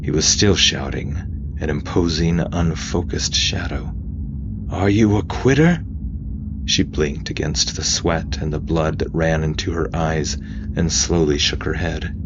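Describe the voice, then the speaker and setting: A man narrating a scripted story as podcast host and game master, in a theatrical, deliberate way. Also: very deep voice, little pitch movement, lo-fi sound, background noise. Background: clear background music.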